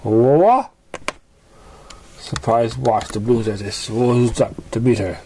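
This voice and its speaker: A narrator reading a story in an amateur storybook style, with halting, stumbling delivery. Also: mid-range voice, dark tone, slight background noise.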